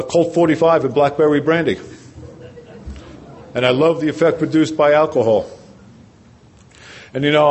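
An older man speaks to a packed convention hall, sharing his own recovery story. His voice sits at an ordinary middle pitch. He stays conversational and pokes fun at himself, then sinks his voice low and quiet when a point matters. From New Jersey. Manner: conversational and self-deprecating, drops his voice low and quiet for emphasis. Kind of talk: personal testimony talk.

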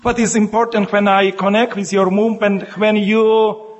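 A man from Ukraine speaking in halting, broken English with a Ukrainian accent, a bishop and monk addressing a meeting as guest speaker. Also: high voice, level tone, lo-fi sound. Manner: searching for words in broken English.

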